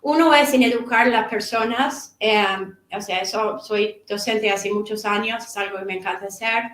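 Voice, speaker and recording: Halting middle-aged woman in an echoey room. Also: medium pitch, steady tone, fairly bright tone. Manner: halting, repeats words while searching for them. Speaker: author and course instructor. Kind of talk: seminar talk.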